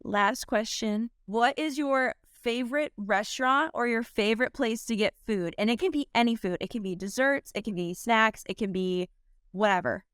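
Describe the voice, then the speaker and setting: A woman's voice: a little high, a bit bright, clean tone, no room sound, studio quality. Cheerful young woman interviewer. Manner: cheerful, brisk, question-reading. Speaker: interview host. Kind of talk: friendly interviewer reading questions.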